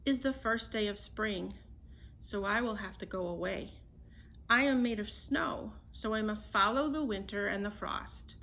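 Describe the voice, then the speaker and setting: A woman voicing a male snow bear in a storybook, a tender, loyal friend speaking softly and patiently. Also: mid-range voice, measured pace, rough recording. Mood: gently serious. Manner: soft, patient, reassuring.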